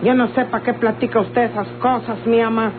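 Actress as feisty young rural Mexican woman, high-pitched. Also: steady tone, a little fast, natural voice. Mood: defiant. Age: young adult. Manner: blunt, colloquial. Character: feisty, unpolished young unmarried daughter at a motel. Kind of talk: old radio-novela acting. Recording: noisy, humming, tinny old radio recording.

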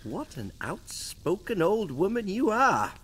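Vain young wizard in a radio drama, showy, haughty, pitch all over. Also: male voice, huge pitch swings, a little slow, fairly bright tone, breathy edge, full character voice. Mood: boastful, dismissive. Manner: haughty, flamboyant, evasive. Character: vain, flippant, theatrical young male wizard.

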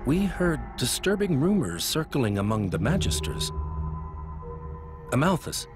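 A man's voice: on the high side, very animated, measured pace. A man playing a formal, deferential but probing magistrate in a video game cutscene. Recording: loud background music.

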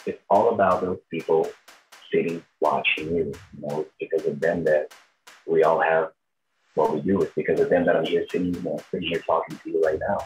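A man's voice: extremely low pitch, little pitch movement, a little fast, poor audio. A man in his thirties from Brazil with a Brazilian accent, giving informal interview answers as a performer. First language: Portuguese. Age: 30s. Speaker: tribute show performer, interviewee.

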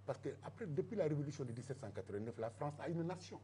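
A man's voice: fairly high pitch, animated, fast, dark tone, slight background noise. An older Senegalese man speaking emphatically as a guest in a televised political debate, on a lapel mic in a studio. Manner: emphatic, mixing Wolof and French. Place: TV studio set.

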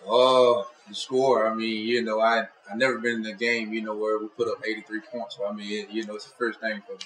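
A young college football player answers post-game questions at a press table. His voice is low and flat, saying you know often. The room adds a noticeable echo. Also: fairly quick.

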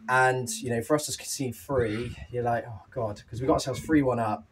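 A man's voice: medium pitch, brisk, very noisy. Breathless young man in a post-match interview. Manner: animated, candid, with fillers and restarts. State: slightly out of breath. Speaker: football manager. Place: small clubhouse room with painted wooden walls.

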